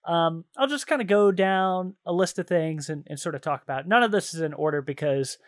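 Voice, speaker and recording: A man's voice: on the high side, fairly quick. A man hosting a podcast, chatting casually about video games in an informal, slangy, easily amused way. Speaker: podcast host.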